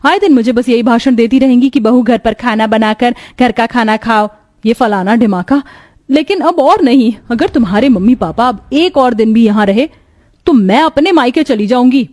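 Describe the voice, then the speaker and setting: A young city wife from a cartoon moral story played by a woman, resentful and exasperated. Her voice is fairly high and has a soft tone rather than a sharp one. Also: normal speed, lightly acted.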